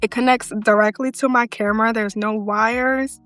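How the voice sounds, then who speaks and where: A woman with a soft voice, chatting casually to the viewer as she tours her filming setup in her living room, holding a wireless mic. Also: fairly high pitch, fairly even intonation, normal speed, dry recording. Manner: chatty, talks straight to the viewer. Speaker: content creator. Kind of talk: casual home setup tour vlog. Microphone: handheld wireless mic. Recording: dry close mic with faint music.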